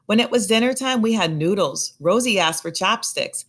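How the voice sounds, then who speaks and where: A woman reading a children's picture book aloud in an expressive storytelling style. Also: mid-range voice, normal speed. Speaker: storybook reader.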